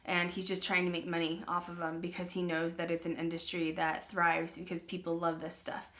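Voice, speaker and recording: A woman's voice: on the low side, completely flat delivery, brisk, a bit bright. A young adult woman hosting a paranormal channel, talking casually to her viewers, chatty and full of "um" and "like". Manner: chatty, peppered with "um" and "like". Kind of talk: casual vlog talk to viewers.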